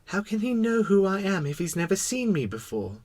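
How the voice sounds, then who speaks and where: A man's voice: sits high, very animated, fairly quick, pitched above the performer's own voice. A man voicing a timid, curious little prince in a storybook, speaking politely and hesitantly. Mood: puzzled. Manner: soft, hesitant, polite questioning.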